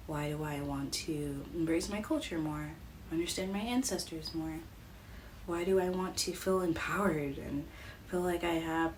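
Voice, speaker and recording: A young woman giving a casual psychic reading to her viewers, musing and dreamy, with soft giggles. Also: fairly low pitch, slight background noise, poor audio. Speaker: psychic reader channeling messages. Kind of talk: casual spiritual reading to camera.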